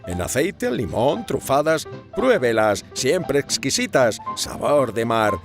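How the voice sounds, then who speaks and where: A man performs as a 1930s radio advertisement announcer with a very lively, swooping delivery. He declaims products one by one in a promotional manner, with music clearly playing behind him. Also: medium pitch, slow. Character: male 1930s radio announcer selling canned seafood.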